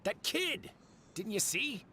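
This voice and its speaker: A man's voice: high-pitched, big pitch swings, slow pace, sharp tone, slight background noise, heavily acted. A man voicing a blunt, exasperated but decent fruit shopkeeper in a video game, scolding in a familiar way.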